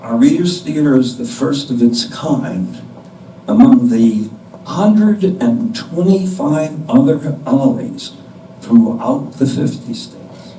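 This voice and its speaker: Slow-speaking older man, echoey room. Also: medium pitch, warm tone. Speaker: presenter introducing a play. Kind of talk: scripted stage introduction to an audience. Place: indoor stage before an audience. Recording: distant mic in echoey room, background noise.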